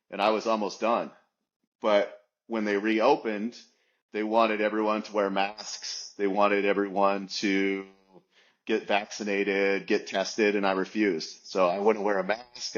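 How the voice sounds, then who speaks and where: A man in his forties with a fairly low voice answers interview questions in a loose, rambling way, full of ums and restarts. The audio is muffled and lo-fi. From United States. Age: 40s. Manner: rambling, many fillers and restarts. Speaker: guest. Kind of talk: casual interview talk.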